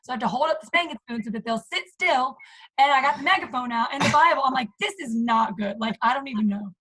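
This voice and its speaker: Hoarse woman, high and husky, excitable team leader on a call, acts out quotes. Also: quick pace, poor audio. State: hoarse, losing her voice. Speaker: team leader in direct sales. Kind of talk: casual group call discussion.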